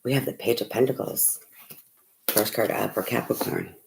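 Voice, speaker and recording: A tarot reader losing their voice, talking softly in a rambling, casual way full of fillers and the odd swear. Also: mid-range voice, steady tone, moderate pace, gravelly, background noise. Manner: speaking softly. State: losing voice, hoarse. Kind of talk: casual tarot reading to camera.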